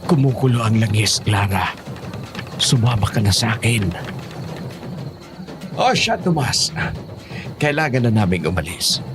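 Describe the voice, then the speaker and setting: A man's voice: fairly low pitch, very animated, unhurried, long pauses, over music. A man with a Filipino accent playing a blunt, protective elderly grandfather in an audio horror drama. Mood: stern, accusing.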